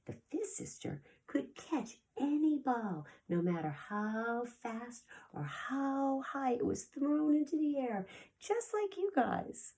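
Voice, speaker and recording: A woman preschool teacher reading a story aloud to young children, expressive and deliberate, with a breathy voice that often drops toward a whisper. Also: high-pitched. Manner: addresses children directly, expressive with gasps and sound effects.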